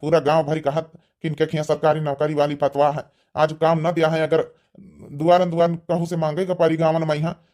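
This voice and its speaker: A man's voice: fairly high pitch, little pitch movement, fairly quick, darkish tone, natural voice. A man with an Awadhi accent playing a disappointed, reproachful villager in a cartoon comedy.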